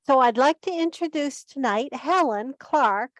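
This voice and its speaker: Female meeting host, high-pitched voice, informal and chatty delivery, close dry sound. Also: animated, unhurried, no echo. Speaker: online meeting host introducing guests. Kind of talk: casual hosting of an online group meeting.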